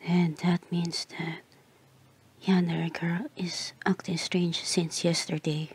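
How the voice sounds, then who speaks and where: A woman's voice: on the low side, flat delivery, slow pace, husky. A woman voicing a flustered, blunt tsundere childhood friend in an ASMR roleplay, hesitant and often dropping into whispers. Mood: secretive, worried.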